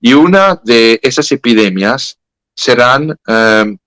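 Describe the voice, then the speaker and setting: A man's voice: medium pitch, animated, slow, a bit bright, no echo. A man with a French accent, a native French speaker, explaining at length in a conversational talk, hesitant with many fillers and self-corrections. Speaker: guest speaker.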